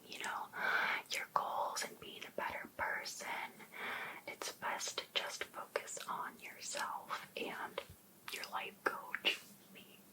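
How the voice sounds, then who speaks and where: A woman playing an obsessive, sweetly pushy life coach in an ASMR roleplay, whispering breathily in a coaxing, deliberate way. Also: close to an everyday voice, poor audio. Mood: possessive, insistent.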